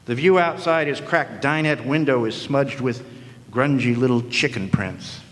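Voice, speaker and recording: A man's voice: medium pitch, unhurried, fairly bright tone. Brief: a sardonic man with a mid-range voice, narrating noir in an echoing hall. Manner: wry, sardonic. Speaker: narrator, hard-boiled detective. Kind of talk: 1940s noir detective narration in a live radio play. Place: historic club hall, live audience performance. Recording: long echoing hall reverb.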